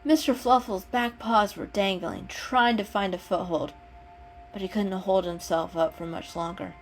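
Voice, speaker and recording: A woman narrating a children's animal story as an expressive dramatic reading. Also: medium pitch, a little slow, a little hiss or hum. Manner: expressive, acts out the dialogue. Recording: music under the voice. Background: background music.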